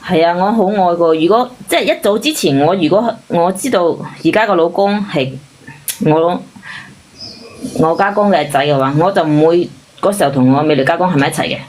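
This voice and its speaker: A woman's voice: lowish voice, brisk, darkish tone, poor audio. A woman caller talking about her relationship in an insistent, defensive way, in casual emotional chat. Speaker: caller sharing a relationship problem.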